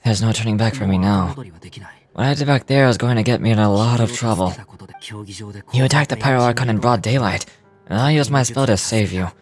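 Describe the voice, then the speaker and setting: A man voicing a perceptive, doubting young tribesman in a video game, speaking in a grave, measured and earnest way. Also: medium pitch, soft background music, lightly acted. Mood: grave, urgent. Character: perceptive, doubting young tribesman turned reluctant fugitive ally. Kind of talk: scripted video game dialogue.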